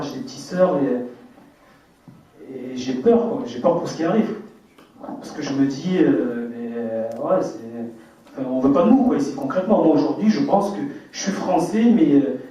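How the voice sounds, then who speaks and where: A man's voice: medium pitch, normal speed, warm tone. A man in his twenties from France, speaking earnestly at a public debate, hesitant and full of fillers and restarts. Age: 20s. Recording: echoey hall, distant mic, dull sound.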